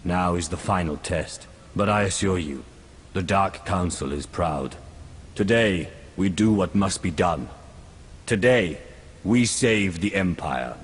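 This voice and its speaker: An actor playing a composed, solemn, commanding lord of an evil galactic empire in a video game cutscene. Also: male voice, deep voice, animated, slow, breathy edge, exaggerated voice. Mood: solemn resolve.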